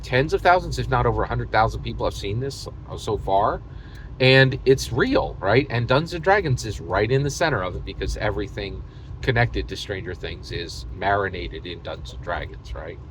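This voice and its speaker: An American man hosting a casual, opinionated gaming monologue, speaking emphatically and repetitively, often halting mid-thought and leaning on hyperbole. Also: lowish voice, lively intonation, a bit bright, slight background noise, rough recording. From United States. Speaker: host of a tabletop gaming video channel.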